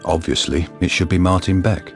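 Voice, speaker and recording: A man's voice: deep voice, steady tone, normal speed. A man narrating a crime story in a composed, scripted radio drama style. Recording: music under the narration.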